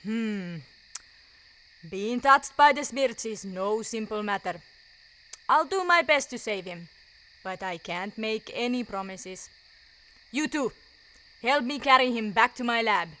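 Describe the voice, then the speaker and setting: Woman playing a grave, higher-pitched doctor. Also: lively intonation, takes it slowly, long pauses, bright, forceful tone, exaggerated voice, poor audio. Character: grave, measured doctor with a lab. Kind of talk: radio drama acting.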